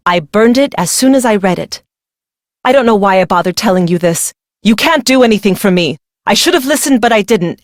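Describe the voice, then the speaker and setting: A woman's voice: fairly low pitch, no echo, clean studio sound, lightly acted. A young woman playing a secretive, fearful young bride in a video game, speaking in a weary, brooding, dramatic way. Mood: agitated, fearful.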